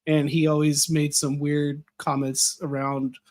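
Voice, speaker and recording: Man from the US, slightly higher-pitched, chatty podcast guest who rambles, voice a bit worn. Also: flat delivery, unhurried, darkish tone. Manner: rambling, with many fillers and restarts. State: losing his voice a little. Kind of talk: casual conversation.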